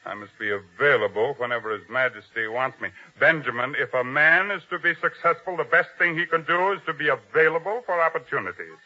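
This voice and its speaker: An actor playing a proud, ambitious army captain, boastful and self-important, in a 1950s radio drama style. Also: male voice, medium pitch, a little fast, few pauses, sharp tone. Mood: self-satisfied. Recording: dull old radio broadcast recording.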